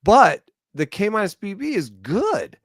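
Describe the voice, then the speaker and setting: A man who is a recurring guest on a podcast, thinking aloud through pitching numbers with dry humor. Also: very animated, a little slow, no echo. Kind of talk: casual baseball analysis chat.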